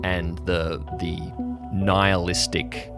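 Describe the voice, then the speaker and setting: A man narrating an improvised audio drama as game master, halting as he searches for words. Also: low voice, flat delivery, over music.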